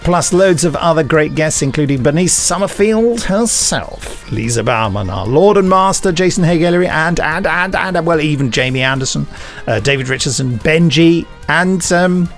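A man's voice: on the high side, expressive, normal speed, hardly any pauses, faint music behind. A man hosting a podcast in casual, bantering, mock-dramatic chat. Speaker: podcast host.

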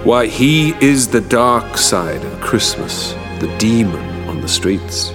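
A man's voice: medium pitch, big pitch swings. An actor playing an ominous man in an audio drama clip, speaking with foreboding. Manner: slow, foreboding. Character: ominous man telling of a demon. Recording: drama clip with music score.

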